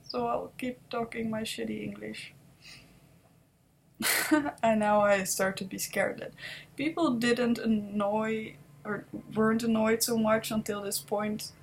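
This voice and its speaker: A woman in her twenties with a Dutch accent, talking softly and hesitantly in an unscripted confessional vlog recorded on a webcam. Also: fairly high pitch, gravelly, slight background noise. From Netherlands. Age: 20s. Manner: soft-spoken, hesitant, self-doubting. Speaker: vlogger.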